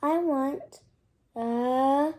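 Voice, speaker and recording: Storybook elephant, played by a child: male, worried and sneezy, high voice, loud halting dramatic delivery. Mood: sneezy, bewildered.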